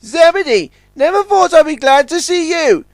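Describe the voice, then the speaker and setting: Customs boat, raised pitch, urgent and dry-humoured , male reader. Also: lively intonation, normal speed, no echo. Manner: urgent, wry. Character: stranded customs launch, male, wry and desperate.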